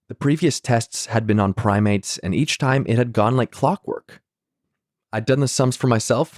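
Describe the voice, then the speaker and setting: Low, somber male audiobook narrator. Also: darkish tone, no room sound, clean studio sound. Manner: measured, somber storytelling. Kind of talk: first-person scripted story reading.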